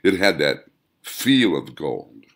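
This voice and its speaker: A man reading a scripted story aloud as an audiobook narrator, with deliberate, dramatic emphasis. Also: rumbling low, very animated, fairly bright tone. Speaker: author narrating own audiobook.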